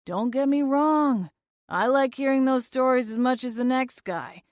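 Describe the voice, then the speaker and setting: A woman voicing a gruff, dismissive male sheriff in a storybook reading, talking in an exasperated, lecturing way. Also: high voice, unhurried, darkish tone, no echo, studio quality, voice pushed up. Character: gruff dismissive small-town male sheriff, long-winded.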